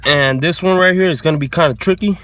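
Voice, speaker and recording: A man's voice: a little high, quick pace, a bit bright. A man hosting a car installation tutorial, explaining each step casually and informally, repeating himself as he goes. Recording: noisy, clicks and vehicle sounds behind.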